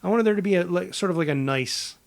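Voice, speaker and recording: A man co-hosting a podcast, talking casually in a halting, rambling way as he improvises a pitch. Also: vocal fry. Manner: halting, rambling delivery with false starts. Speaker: podcast co-host.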